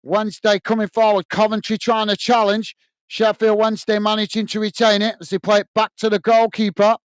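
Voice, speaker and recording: A British man with a high, tiring, slightly hoarse voice calls the match in a steady running commentary for his fan channel. His voice is smooth and clear, recorded close and dry with no room sound. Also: a little fast, no room sound. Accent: British English. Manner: steady running commentary, talks to viewers as guys. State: voice tired and going hoarse. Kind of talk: live watch-along play-by-play commentary.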